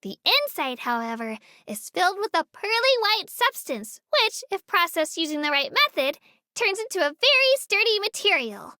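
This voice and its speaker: A tiny, dutiful female creature guides tourists in a video game. The voice is extremely high and childlike, with a bright edge. The delivery is lively, earnest and polite, like a scripted announcement. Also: moderate pace, dry recording, clean studio sound, full character voice. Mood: earnest, informative. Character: cheerful, dutiful little female Melusine creature working as tour guide. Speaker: tour guide.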